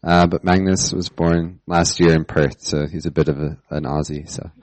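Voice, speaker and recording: A man from the United States introducing himself and his family, relaxed and halting. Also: low-pitched. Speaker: outreach team member.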